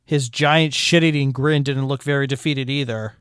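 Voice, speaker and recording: A man's voice: mid-range voice, steady tone, normal speed, a bit bright, smooth, no echo. Man narrating a fanfic in a dry room. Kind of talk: fanfiction read-aloud narration.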